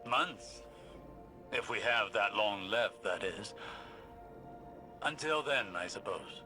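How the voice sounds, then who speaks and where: An actor playing a learned, cautious male scholar in a science fiction game, speaking formally and deliberately with precise phrasing. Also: lowish voice, pushed and bright, faint music behind, exaggerated voice. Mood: grave, pondering. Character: grave, learned male scholar in a sci-fi world, measured and cautious. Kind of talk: dramatic video game dialogue.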